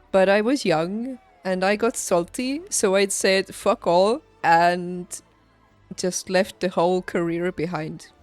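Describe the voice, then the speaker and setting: A young adult woman chatting casually on a livestream, telling personal stories in an emphatic, animated and informal way. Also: medium pitch, no echo. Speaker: livestreamer, former nurse. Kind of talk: casual stream chat, personal storytelling. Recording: background music behind close dry voice. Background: music.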